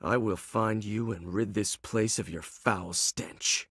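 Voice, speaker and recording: An actor playing a grim, vengeful samurai in a video game, delivering a terse, grieving inner monologue. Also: male voice, lowish voice, steady tone, takes it slowly, a bit bright, slight background noise. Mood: grim vengeance.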